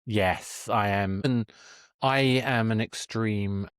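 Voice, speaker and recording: A man hosts an interview podcast in a casual, conversational style. His voice is on the low side. The recording is dry, without audible room. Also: slow, clear, resonant tone, no room sound. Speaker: podcast host.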